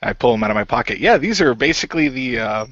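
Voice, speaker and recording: A man's voice: medium pitch, expressive, fast, fairly bright tone, slight background noise, close to an everyday voice. Naive ghost hunter, played by a man, muffled sound. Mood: casual, rambling. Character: naive, literal-minded male ghost hunter, Nathan. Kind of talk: improvised role-play radio play.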